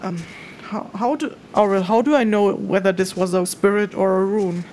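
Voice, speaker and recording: A woman's voice: mid-range voice, moderate pace, darkish tone, slight background noise, natural voice. A woman playing a young spirit hunter who thinks aloud hesitantly, with dry teasing, in an audio drama field log. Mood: musing, uncertain.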